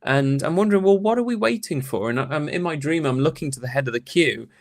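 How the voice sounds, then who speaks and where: A man's voice: on the high side, animated, fast talker. A man speaking as an academic interview guest, telling anecdotes in a thoughtful, rambling, conversational way.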